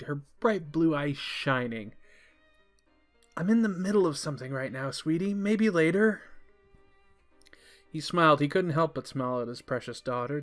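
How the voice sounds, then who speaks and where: A man reading a scripted story aloud as its narrator. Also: mid-range voice.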